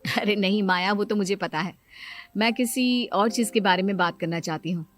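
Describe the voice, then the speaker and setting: A woman's voice: medium pitch, normal speed, lightly acted. Woman voicing a scheming, insistent young sister-in-law. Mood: coaxing. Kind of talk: Hindi moral story character voice. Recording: music under the voice.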